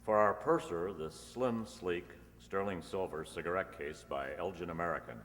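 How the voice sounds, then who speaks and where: A man's voice: low-pitched, moderate pace, fairly bright tone. A man playing an old-time radio announcer, presenting sponsors' gifts with a polished sales delivery. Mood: polished selling. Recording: echoey hall with long reverb.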